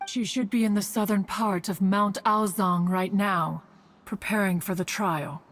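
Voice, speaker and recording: Woman voicing a slow, stately ancient immortal. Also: medium pitch, steady tone, a little hiss or hum, close to an everyday voice. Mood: composed. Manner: formal, archaic, grandly measured. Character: stately, ancient female immortal bird mentor. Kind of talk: video game storytelling. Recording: music behind the voice.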